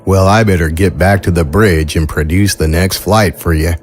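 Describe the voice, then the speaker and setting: A man's voice: sits low, steady tone, moderate pace, faint music behind. A man hosting an audio drama show, giving a casual scripted outro in a folksy, informal way, speaking straight to his listeners.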